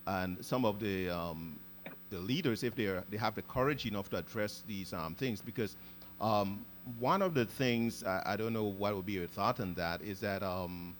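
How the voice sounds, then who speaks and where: A man hosts a casual interview in a fairly low voice. He talks thoughtfully and hesitantly, often stopping to hunt for the right word. A faint electrical hum sits under his voice. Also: normal speed. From Guyana. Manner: thoughtful, hesitant, searches for words. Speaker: podcast host.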